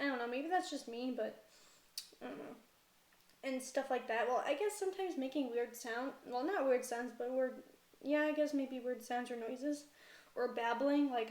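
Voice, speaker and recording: A woman's voice: high voice, darkish tone. A young woman from Canada talking casually about her life, hesitant and full of fillers. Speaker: vlogger. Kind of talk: casual personal vlog talk. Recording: roomy echo.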